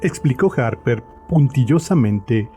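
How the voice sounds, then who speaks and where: A man narrates a horror tale in a scripted, literary style. His voice sits at a middle pitch, with music clearly playing behind him. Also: animated, unhurried, mellow.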